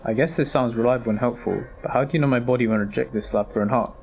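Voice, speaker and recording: A man's voice: mid-range voice, fast, creaky, a little mellow, slight background noise, close to an everyday voice. A man playing an anxious heart patient who is desperate to live, in an amateur audio drama. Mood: curious, hopeful.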